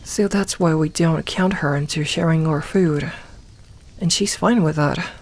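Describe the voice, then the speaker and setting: A woman voicing a gentle, comforting young werewolf in a soft ASMR roleplay, talking intimately and reassuringly to one listener with light humour. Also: low voice, unhurried. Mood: warm, welcoming. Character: gentle, comforting young female werewolf, playful self-styled lone wolf.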